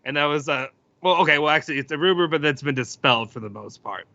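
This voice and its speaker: A man hosting a casual sports podcast, loud-voiced and rambling, with lots of fillers and restarts. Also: on the high side, animated, quick pace, vocal fry, no echo, lo-fi sound. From United States. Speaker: podcast host.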